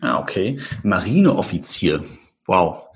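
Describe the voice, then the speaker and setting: Low, husky-voiced male podcast host. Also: big pitch swings, unhurried. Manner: hesitant, many fillers, enthusiastic reactions. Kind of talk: interview hosting.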